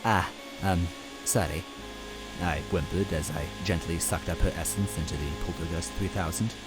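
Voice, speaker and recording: A man's voice: low voice, fairly even intonation, a little fast, over music, low-quality recording. A man narrating a first-person story expressively as a reader.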